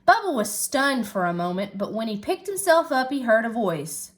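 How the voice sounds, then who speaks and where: Female storybook reader, teacherly, high voice with very lively intonation. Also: moderate pace. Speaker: schoolteacher reading aloud. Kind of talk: animated children's storybook narration.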